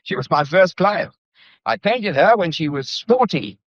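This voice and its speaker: An actor with a British accent playing a breezy, blunt, gallant painter in a period radio drama, full of jaunty, slangy, teasing banter. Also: male voice, sits high, lively intonation, a little slow, no room sound. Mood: jaunty, flirtatious. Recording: dull, muffled lo-fi audio.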